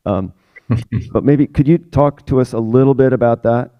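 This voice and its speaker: A man with a raspy voice hosting an interview, talking in a halting way with many fillers. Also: medium pitch, no room sound, muffled recording. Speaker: radio host. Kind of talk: conversational interview.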